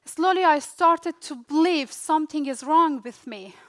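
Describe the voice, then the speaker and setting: A young woman from Afghanistan with an Afghan accent, whose first language is Dari, giving a rehearsed personal storytelling speech in short, deliberate phrases. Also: high-pitched. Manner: slow, deliberate delivery in short dramatic phrases with pauses. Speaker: refugee speaker.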